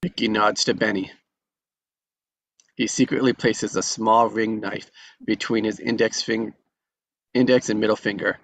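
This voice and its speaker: Male action narrator, lowish pitch, scripted read of screen directions. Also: fairly even intonation, unhurried, gravelly, long pauses, fairly bright tone, no echo, poor audio.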